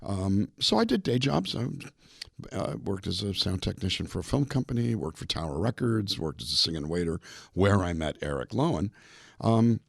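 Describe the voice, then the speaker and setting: A man in his seventies from a California border town, a bilingual singer-songwriter guest in a casual interview, telling relaxed stories and acting out the voices he quotes. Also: sits low, normal speed, gravelly, dry recording, studio quality. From California, US (Mexican border town). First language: bilingual English and Spanish. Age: 70s.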